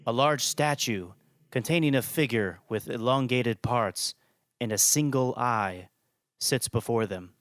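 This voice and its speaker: A man's voice: medium pitch, unhurried, no room sound, clean studio sound. A man hosting and narrating a scripted audio drama podcast.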